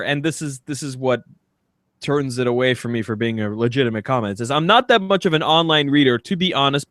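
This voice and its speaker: A man's voice: on the high side, fairly bright tone, dry recording, clean studio sound. A man co-hosting a podcast in casual comedic banter, speaking in a somewhat hesitant, stammering way. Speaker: podcast co-host.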